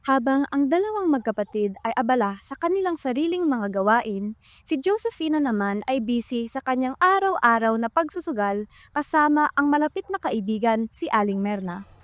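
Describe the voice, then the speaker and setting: A woman's voice: high-pitched, animated, normal speed, no echo. A woman with a Filipino accent reading scripted narration for a radio drama. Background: music.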